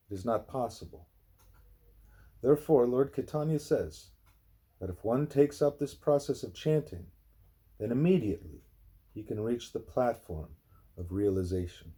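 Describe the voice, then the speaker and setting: Husky, mellow man reading aloud. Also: mid-range voice. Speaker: reader of a spiritual book. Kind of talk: read-aloud scripted narration.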